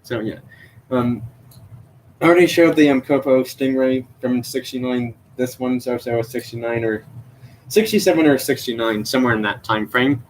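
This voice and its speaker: A man's voice: mid-range voice, moderate pace, slight background noise, poor audio. A young man chatting on a live stream about his toy car collection, rambling with many fillers and unsure self-corrections. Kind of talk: casual live stream show-and-tell. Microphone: computer mic.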